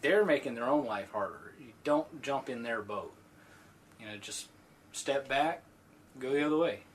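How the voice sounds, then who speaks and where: A man's voice: medium pitch, lively intonation, a little hiss or hum. Man chatting with stop-start restarts. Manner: halting, many restarts. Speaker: podcast co-host. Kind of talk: casual podcast chat.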